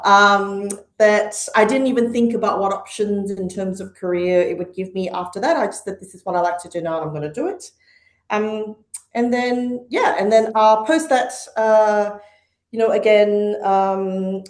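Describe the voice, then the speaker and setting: A middle-aged woman from Malaysia and Hong Kong, a podcast guest speaking thoughtfully with frequent ums and uhs. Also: medium pitch. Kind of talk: conversational interview. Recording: echoey room.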